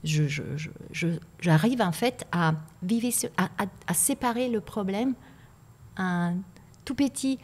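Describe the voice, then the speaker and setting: A woman's voice: mid-range voice, expressive, unhurried, darkish tone. A woman with an Italian accent, a native Italian speaker, talking as a guest in a podcast interview, reflective and hesitant, often repeating words as she searches for them. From Italy.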